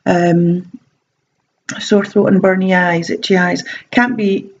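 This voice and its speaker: A woman's voice: lowish voice, steady tone, takes it slowly, lo-fi sound, a little hiss or hum. A woman with a slight sore throat giving casual self-help advice, chatty and meandering with frequent ums and restarts. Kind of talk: casual talking-to-camera advice.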